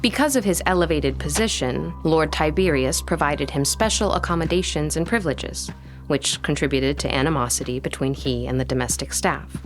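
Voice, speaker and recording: A woman playing a poised, curious historian in a gothic audio drama, narrating in a measured, literary way. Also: low voice, lightly acted. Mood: reflective. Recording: music playing behind the voice.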